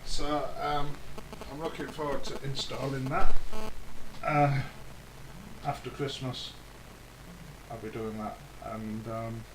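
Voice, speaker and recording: A man's voice: mid-range voice, flat delivery, takes it slowly. British man rambling in an echoey, noisy recording. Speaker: hobbyist video host. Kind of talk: casual DIY vlog explanation. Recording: echoey, clearly noisy home-video sound.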